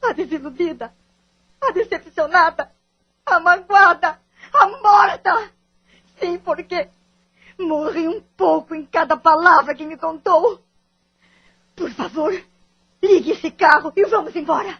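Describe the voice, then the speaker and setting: A woman's voice: very high voice, a little slow, long pauses, highs cut off. A woman acting in an audio drama with a Brazilian accent, playing a bitter, wounded wife and devoted mother in formal, melodramatic phrasing. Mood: devastated, bitter.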